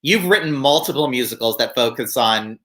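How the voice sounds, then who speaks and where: Livestream host, very bright, forward voice, enthusiastic and encouraging, conversational questioning. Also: medium pitch, expressive, fairly quick. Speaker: interview host. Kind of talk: casual livestream interview.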